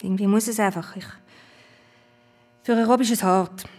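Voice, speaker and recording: An actress playing a harried, downcast violinist and worried wife, speaking in clipped phrases in a radio drama. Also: female voice, medium pitch, fairly even intonation, normal speed, darkish tone, lightly acted. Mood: distressed, bitter.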